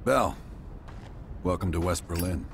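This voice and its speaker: A man's voice: low-pitched, a little slow, vocal fry, over music. An actor playing a cool male CIA team leader with a dry, clipped, sardonic way of talking. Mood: wry. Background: film score.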